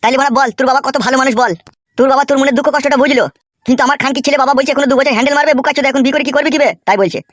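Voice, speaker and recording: A man's voice: very high-pitched, fairly even intonation, rapid-fire, fairly bright tone, dry recording. A man voicing a foul-mouthed young cartoon villager, complaining crudely and emphatically. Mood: indignant. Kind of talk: comic Bengali cartoon dialogue.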